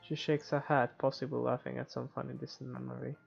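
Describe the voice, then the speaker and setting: Casting: woman let's-player, very deep gravelly voice, casual reading with wry asides, lo-fi mic, game music behind. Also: flat delivery, fast, a little mellow. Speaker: gamer.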